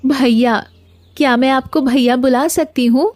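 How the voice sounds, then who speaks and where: A woman's voice: high voice, steady tone, measured pace, a little mellow, no room sound, soft background music. A woman playing a remorseful, affectionate village wife in a cartoon moral story, speaking in an earnest, polite way. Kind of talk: animated moral story dialogue.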